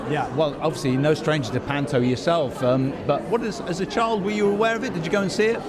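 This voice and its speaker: A man's voice: on the high side, fast, a loud crowd. A man hosting a podcast, presenting and interviewing in an upbeat way backstage at a busy venue. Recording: noisy busy backstage location.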